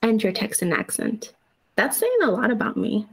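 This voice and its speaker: A young woman from Chihuahua, Mexico, co-hosting a casual podcast and telling animated stories with laughs and swearing. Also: medium pitch, moderate pace, creaky, breathy edge. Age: young adult. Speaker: podcast co-host. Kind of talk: casual chat.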